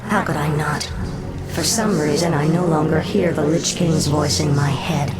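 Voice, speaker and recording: A woman's voice: deep voice, steady tone, moderate pace, natural voice. An actress playing a proud undead elven queen in a fantasy game, cold and bitter with a thirst for vengeance. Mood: cool suspicion. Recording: loud music behind the voice.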